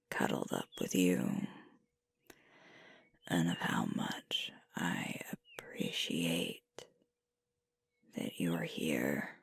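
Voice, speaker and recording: A woman's voice: extremely low pitch, no room sound, full character voice. A woman playing a sweet, sleepy, affectionate girlfriend in an intimate ASMR roleplay, murmuring tenderly close to the microphone. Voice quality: breathy, whispery. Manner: slow, drowsy, tender murmuring. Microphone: close microphone, dry.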